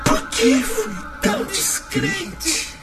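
A man's voice: very high-pitched, wildly swooping pitch, drawn-out words, far from the mic, exaggerated voice. An actor playing the anguished, desperate spirit of a dead man in a radio drama, wailing and pleading theatrically. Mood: anguished horror. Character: anguished spirit of a male suicide, desperate and broken. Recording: dramatic music behind the voice.

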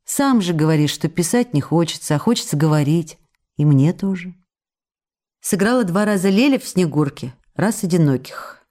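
A woman's voice: on the low side, expressive, normal speed, warm tone. An actress playing a passionate young stage actress reading out her love letters in a tender, intimate, imploring manner for a radio play. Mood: chatty.

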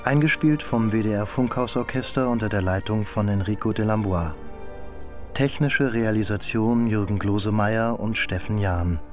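A man's voice: lowish voice, normal speed, faint music behind. A man formally announcing the credits of a radio drama.

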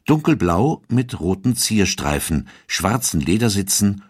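A man delivers scripted documentary narration. His voice is on the low side, and the recording is very dry, with no room around it. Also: sing-song, a little slow, breathy edge, no echo.

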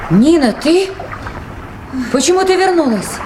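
A woman's voice: very high-pitched, big pitch swings, measured pace, very noisy, exaggerated voice. An actress playing a caring but anxious woman who runs a river station, in Soviet radio drama style. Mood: anxious, insistent.

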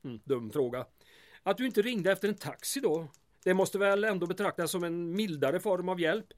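A man's voice: high voice, expressive. A man playing a small-town mayor in an audio drama, dryly teasing under polite, deferential wording. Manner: dry, deferential wording laced with sarcasm.

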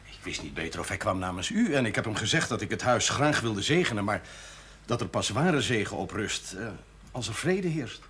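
An actor playing a hearty, sporty village priest, jovial and quick to joke, in a 1970s TV drama. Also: male voice, lowish voice, very animated, a bit bright, husky, exaggerated voice. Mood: friendly. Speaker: parish priest.

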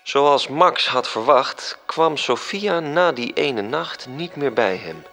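A man narrating a literary radio drama in a measured, serious storytelling manner. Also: mid-range voice, expressive, sharp tone, soft background music, full character voice.

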